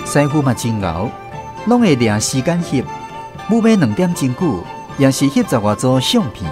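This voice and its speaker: A man's voice: medium pitch, big pitch swings, moderate pace, over loud music, close to an everyday voice. A man playing a storyteller who recalls his naive country boyhood, narrating a radio drama with a Taiwanese accent. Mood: reflective.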